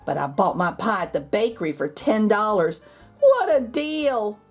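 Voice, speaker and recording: Woman doing a playful, animated storybook grandmother. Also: medium pitch, a little slow, husky, soft background music, heavily acted. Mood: confiding. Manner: teasing, confiding. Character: playful grandmother, breezy and a little sly. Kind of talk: children's storybook read-aloud.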